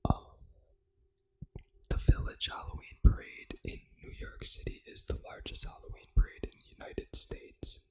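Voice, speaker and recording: An ASMR host reading aloud in a hushed, fully unvoiced whisper, with deliberate phrasing. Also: low-quality recording. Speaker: ASMR gaming channel host.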